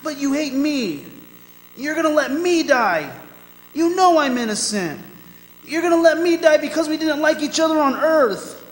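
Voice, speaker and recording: A man's voice: extremely high pitch, animated, moderate pace. A man playing a condemned prisoner's wandering soul in a radio drama, bitter, agitated and pleading. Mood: desperate pleading. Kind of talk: old-time radio drama acting on stage. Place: performing arts center hall. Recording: echoey hall, faint noise.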